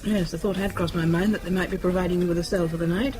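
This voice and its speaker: An actress playing a quick-witted, teasing British wife in a radio drama, arch and composed with a British accent. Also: female voice, fairly low pitch, fairly even intonation, lightly acted.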